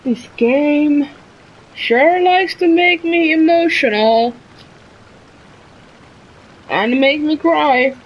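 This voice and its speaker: A young woman streaming a game, giving casual, soft-hearted, drawn-out reactions. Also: high voice, highs cut off. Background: game music.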